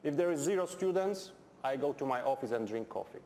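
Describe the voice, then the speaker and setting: A Polish man with a noticeable Polish accent lectures in English, his second language, in a blunt, dryly humorous way. His pitch sits at an ordinary middle level for a man. The sound carries the long reverb of a lecture hall over a faint background hum. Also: expressive, fairly quick, dark tone. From Poland. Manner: blunt, direct, dry humour, simple phrasing. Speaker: chemistry lecturer. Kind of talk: university lecture.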